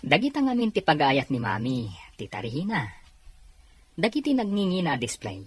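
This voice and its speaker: A woman's voice: sits low, sing-song, normal speed, a little hiss or hum. A woman voicing an eager, well-off young male suitor in an audio drama, polite in manner. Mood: boastful.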